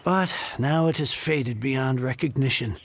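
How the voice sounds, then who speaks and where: A man's voice: mid-range voice, measured pace, gravelly. A man voicing an earnest heir of a fallen noble family in a video game, formal and effusive. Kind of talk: video game character acting.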